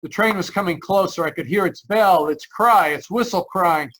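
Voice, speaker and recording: A man's voice: high voice, moderate pace, a bit bright. Man acting a haunted, anxious radio-drama driver. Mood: desperate. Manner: tense, earnest, dramatic storytelling. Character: haunted, anxious male driver narrating his road trip. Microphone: video call. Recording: live video-call performance.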